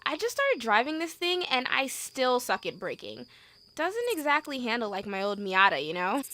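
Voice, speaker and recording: A brash young working woman in an audio drama, played by an actress, with a high, bright voice that swings freely. She is chatty, blunt and slangy. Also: very animated, moderate pace, dry recording, exaggerated voice. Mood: alarmed, apologetic. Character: brash, chatty, foul-mouthed young woman hauling corpses. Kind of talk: amateur audio drama acting.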